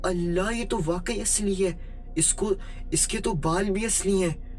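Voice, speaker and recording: Man putting on a high-pitched crazed girl. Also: expressive, moderate pace, a bit bright. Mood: manic glee. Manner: shrieking, mad laughter, repeating phrases. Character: crazed young girl, gleefully obsessed, manic. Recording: music behind the voice.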